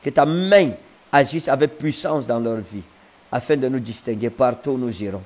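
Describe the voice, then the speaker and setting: A man in his thirties, a prophet preaching and praying fervently in tongues on a livestream, speaking slowly and with repetitive emphasis into an earphone mic in a living room. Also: medium pitch, a little mellow. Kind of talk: livestream prayer and sermon. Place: living room with a sofa, indoors. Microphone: wired earphone mic.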